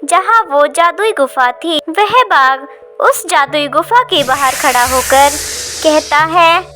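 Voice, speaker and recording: A woman's voice: sits high, takes it slowly, sharp tone, slight background noise. A woman narrating a scripted animal story for children as its storyteller.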